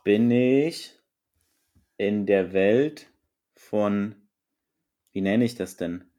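A man co-hosting a podcast, playing a casual guessing game, unhurried and playful and laughing easily. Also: lowish voice, warm tone. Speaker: podcast co-host.

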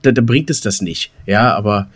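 A man's voice: lowish voice, normal speed. A man co-hosting a podcast in casual banter, teasing and often restarting his phrases. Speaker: podcast co-host. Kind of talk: casual banter between friends.

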